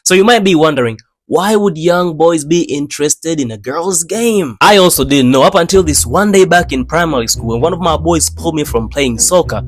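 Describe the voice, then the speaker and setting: A young man from Tanzania, telling funny childhood stories in a slangy, animated way. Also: fairly high pitch, fairly quick, hardly any pauses, no room sound. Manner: animated, slangy, playful delivery with dramatic swings. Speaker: animator narrating his own channel. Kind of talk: comedic storytime narration.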